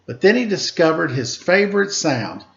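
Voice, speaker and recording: A man reading aloud to children as a storyteller, with theatrical, drawn-out emphasis and casual asides. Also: on the high side, big pitch swings, vocal fry, a bit bright. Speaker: storybook reader, teacher. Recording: muffled lo-fi recording.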